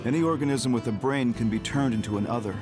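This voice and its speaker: A man playing a composed, guarded senior officer in a video game, talking in a measured, explanatory way. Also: mid-range voice, over music, natural voice. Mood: grave, composed. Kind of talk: English video game voice acting.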